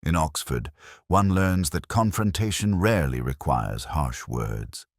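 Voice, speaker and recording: A man as a very deep-voiced, contemplative detective narrator. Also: animated, vocal fry, dry recording, studio quality, exaggerated voice. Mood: hushed, watchful. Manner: measured, formal, literary diction. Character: contemplative, observant male English detective inspector narrating his case. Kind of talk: scripted first-person detective story narration.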